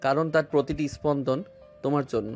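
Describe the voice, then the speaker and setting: A man plays a doting young husband in a romantic audio drama, talking fast and sweetly as he teases his wife. His voice sits at a middle pitch. Soft music plays under it. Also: lightly acted. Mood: tender. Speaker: voice actor.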